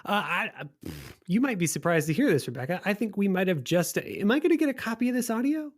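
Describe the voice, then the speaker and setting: A man's voice: high-pitched, sing-song, fast. A man chatting casually as a podcast host, thoughtful and rephrasing as he reasons aloud.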